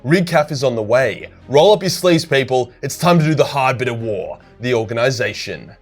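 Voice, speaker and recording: Gruff major general played by a male narrator, mid-range voice, decisive and commanding, clipped delivery for a war audio story. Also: medium pitch, lively intonation, normal speed, faint music behind, voice pushed up. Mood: stern, urgent. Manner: authoritative, clipped orders. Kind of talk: dramatised storytelling.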